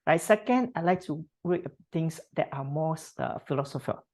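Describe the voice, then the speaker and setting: A woman guest from Singapore with a slightly low voice. She answers in a reflective way, with frequent fillers and tag questions. Her delivery is thoughtful and unhurried. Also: a little mellow. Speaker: podcast guest.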